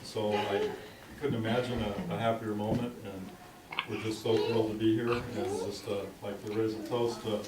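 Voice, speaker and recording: A man giving a wedding toast, telling stories in a chatty, halting way with many fillers. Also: fairly low pitch. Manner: anecdotal, lively, with halting fillers. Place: large reception hall. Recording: roomy, distant, noisy home video.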